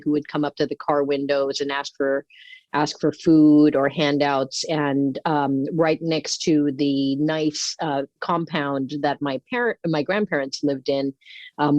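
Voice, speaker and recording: A woman who grew up in Singapore, talking as an interview guest in a thoughtful, unscripted way with frequent pauses and restarts. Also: low voice, a little mellow, no echo, highs cut off. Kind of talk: unscripted reflective talk.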